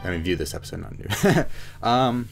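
A man in his thirties comments casually on a game he is playing. His voice sits low, and he rambles with wry asides. Game music plays clearly behind him. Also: expressive, normal speed. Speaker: gamer narrating a playthrough. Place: indoor room at home.

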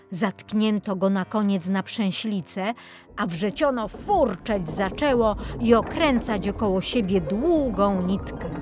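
Female children's audiobook narrator, very unhurried, lively intonation. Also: medium pitch. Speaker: storyteller. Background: music.

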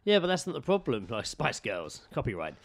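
A man's voice: medium pitch, wildly swooping pitch, fairly quick, gravelly. A British man co-hosting a comedy podcast in casual banter, jokey and crude, often repeating his phrases. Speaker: podcast co-host.

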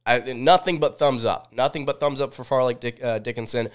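A man's voice: mid-range voice, fairly quick, clear, resonant tone. A man in his twenties with a cold, hosting a sports podcast in a casual, informal way, thinking aloud into a close desk microphone. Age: 20s. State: sniffly with a cold. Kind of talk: casual live sports recap chat. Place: small indoor home studio.

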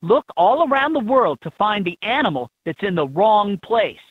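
A man reading scripted instructions for a children's learning game in an expressive, instructive manner. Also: sits high, moderate pace, husky, no echo. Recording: thin, phone-like lo-fi game audio.

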